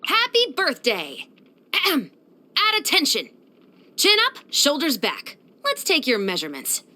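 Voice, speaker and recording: A woman's voice: sits high, wildly swooping pitch, unhurried, sharp tone, exaggerated voice. An actress playing a stern, dutiful young female patrol captain in a video game, speaking in a composed, authoritative and earnest manner. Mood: brisk, commanding. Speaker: video game character voice actor. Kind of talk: scripted video game character voice lines.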